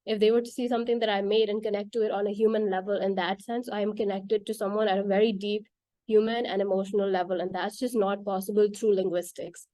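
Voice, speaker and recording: A woman's voice: medium pitch, fairly even intonation, fast talker, dark tone, no echo. A woman whose first language is Urdu, earnestly explaining why art matters for children, with frequent "like" and "right?" fillers. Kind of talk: casual explanatory talk.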